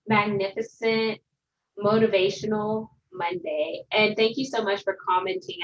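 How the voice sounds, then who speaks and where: A woman's voice: medium pitch, dull audio, a little hiss or hum. Upbeat woman on a video call. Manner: upbeat, encouraging, rousing. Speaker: motivational speaker. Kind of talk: motivational talk on a video call.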